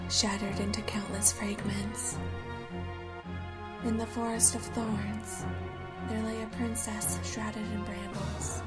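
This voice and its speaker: A woman playing a cold, solemn sorceress in a fan dub, speaking in a measured, flat way. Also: mid-range voice, lightly acted. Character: cold mechanical sorceress hunting her prey, solemn. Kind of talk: dramatic fan dub narration and villain lines.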